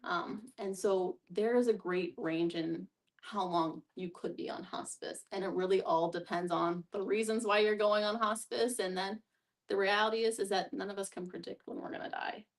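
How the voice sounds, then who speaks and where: A woman's voice: medium pitch, fairly even intonation, moderate pace. A woman speaking as a discussion facilitator, giving thoughtful, explanatory answers in a conversational way. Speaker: guest facilitator, hospice social worker. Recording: distant mic.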